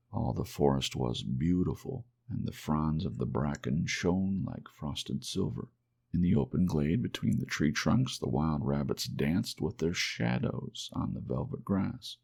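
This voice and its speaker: Low, gravelly man reading a bedtime story. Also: darkish tone. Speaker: audiobook narrator. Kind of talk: ASMR bedtime story narration.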